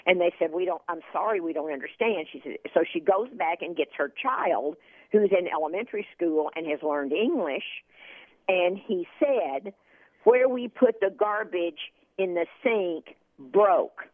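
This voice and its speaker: A woman's voice: fairly low pitch, lively intonation, unhurried, no room sound. Woman from Texas on a phone line. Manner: chatty, repeats words, tells anecdotes. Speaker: guest expert. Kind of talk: casual radio interview.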